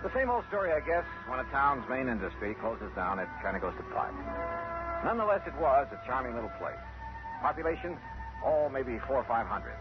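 Wry radio detective played by a man, animated. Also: mid-range voice, brisk, natural voice. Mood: wry narration. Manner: dry, clipped, folksy asides. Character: wry, skeptical hard-boiled male insurance investigator. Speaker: lead actor and narrator. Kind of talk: old-time radio detective drama acting. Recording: muffled vintage radio recording, music behind.

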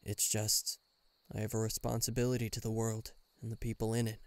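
A man's voice: on the low side, completely flat delivery, brisk, no room sound, close to an everyday voice. A man playing a guarded government bodyguard in an intimate listener roleplay, dryly teasing and reluctantly tender. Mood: teasing, reserved. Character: gruff, guarded male government bodyguard, secretly fond.

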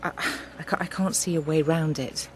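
An actor as a halting, conciliatory Englishman. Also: fairly high pitch, fairly even intonation, a little mellow, slight background noise, lightly acted. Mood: tentative. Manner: halting, tentative. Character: hesitant, conciliatory farming son negotiating with his sister. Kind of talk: naturalistic radio drama.

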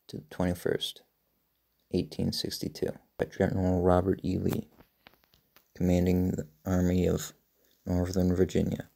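Very flat, deep, creaky man reading. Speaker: reader. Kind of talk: scripted reading of historical excerpts.

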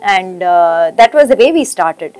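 A woman's voice: lowish voice, lively intonation, a little slow, a little mellow, clean tone, soft background music. A woman, explaining program planning in a documentary interview and posing rhetorical questions.

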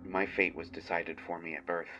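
A man voicing a kindhearted, haunted young banshee in a dramatic monologue, halting between phrases as if confessing. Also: sits low, flat delivery, muffled recording, background noise, lightly acted. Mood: somber, regretful. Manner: halting, hushed confession with long pauses. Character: kindhearted young male banshee, half-fey, haunted and menacing.

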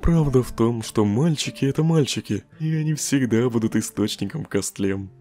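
A man's voice: mid-range voice, normal speed, mellow, faint music behind, close to an everyday voice. A man voicing a lazy, joking male skeleton in a fan comic dub, drawling and chuckling at his own puns. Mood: laid-back.

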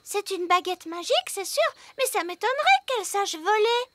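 A woman voicing a sweet, excitable little fairy princess girl in a children's cartoon dub, childlike and earnest. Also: extremely high pitch, moderate pace, background noise. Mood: concerned.

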